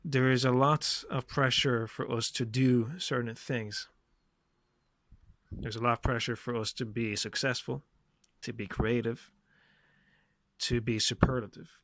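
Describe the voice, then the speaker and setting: A man hosting a podcast, giving a reflective solo monologue in deliberate, short, repeated phrases. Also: medium pitch, fairly even intonation, fairly bright tone, no room sound. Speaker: podcast host.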